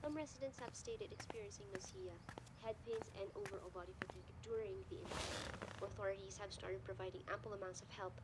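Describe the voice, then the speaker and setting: A woman voicing a serious television news reporter in a cartoon, reading a formal, measured script. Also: mid-range voice, heavy background noise, lightly acted.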